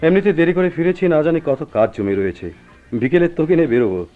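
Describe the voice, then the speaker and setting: An actor playing a hearty, teasing forest officer and old friend in a radio drama, speaking with brisk familiarity. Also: male voice, fairly high pitch, big pitch swings, narrow, tinny audio, soft background music. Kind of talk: Bengali radio drama acting.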